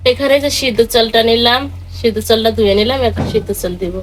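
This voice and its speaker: A young woman talks through her cooking step by step. Her speech is informal and colloquial, recorded with clear ambient noise behind her. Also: on the high side, fast. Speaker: home cook and vlogger. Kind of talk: casual cooking narration. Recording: noisy ambient background.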